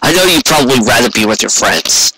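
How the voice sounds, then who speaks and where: A man's voice: medium pitch, expressive, brisk, noisy background, close to an everyday voice. Man acting a tired, dutiful pony guard captain. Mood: tired, friendly. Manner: tired, firm, terse. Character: weary wounded male pony guard captain, proud and dutiful.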